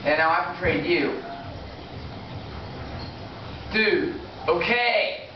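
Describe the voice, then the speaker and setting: Exasperated shouting gamer, very high and swooping, noisy dull recording from across an echoey room. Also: expressive, takes it slowly, distant mic. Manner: yelling, exasperated. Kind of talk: angry shouting at an online game.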